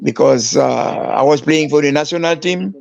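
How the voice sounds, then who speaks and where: Older man, from Haiti, former footballer, halting storytelling, fairly high voice, dry lo-fi audio. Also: gravelly, dry recording. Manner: halting, many restarts and fillers. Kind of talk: storytelling interview.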